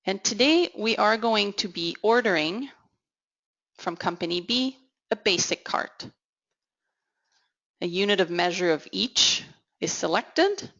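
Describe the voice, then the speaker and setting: A woman giving an instructional software walkthrough as a consultant, in a measured, explanatory way. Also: mid-range voice, animated, gravelly. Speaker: technical consultant presenting a software demo. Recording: dull, lo-fi audio. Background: voice-over for a screen recording.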